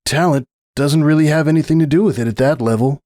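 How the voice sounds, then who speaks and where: A man's voice: fairly high pitch, fast talker, dark tone, no room sound, clean studio sound, close to an everyday voice. A man voicing a young sporty male pony, cocky but self-doubting, grumbling and sardonic, in a dramatic storybook reading. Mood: uncertain, glum.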